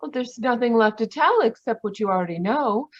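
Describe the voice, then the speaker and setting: A woman acting as a proud, melodramatic suspect in a vintage radio mystery. Her fairly high voice swings widely in pitch, on slightly dull, lo-fi audio. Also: animated, brisk. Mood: shaken. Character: proud, scornful female murder suspect, melodramatic.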